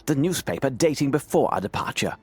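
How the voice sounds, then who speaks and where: An actor playing a grave, commanding gentleman detective in a video game, speaking with measured, intent authority. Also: medium pitch, expressive, lightly acted.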